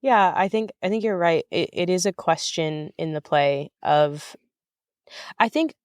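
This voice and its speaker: A woman's voice: on the low side, a little slow, no room sound, clean studio sound. A woman answering as an interview guest in casual, thoughtful talk, often rephrasing and circling back as she goes. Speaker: interview guest, writer of audio fiction.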